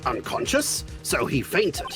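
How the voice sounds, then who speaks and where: A man's voice: takes it slowly, natural voice. A man voicing a pompous, befuddled old judge in a live fan dub of a courtroom video game, bumbling but solemn. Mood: startled, confused. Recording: music behind the voice. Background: game music.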